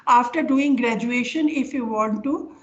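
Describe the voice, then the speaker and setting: Older Indian woman, higher-pitched, lecturing online. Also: a bit bright. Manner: hesitant, halting, explanatory with personal examples. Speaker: professor giving an online lecture. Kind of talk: informal academic lecture. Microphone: video call. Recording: dull, lo-fi online call audio.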